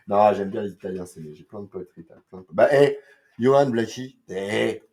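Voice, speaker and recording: A man's voice: lowish voice, normal speed, a little mellow. A man from France giving a casual, rambling comics review, full of fillers, slang and swearing.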